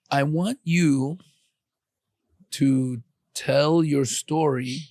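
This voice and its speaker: A man's voice: on the high side, very slow, vocal fry, darkish tone, no room sound, studio quality. A man hosting a podcast interview, talking in a loose, encouraging way with lots of fillers and restarts. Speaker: podcast host. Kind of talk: casual interview.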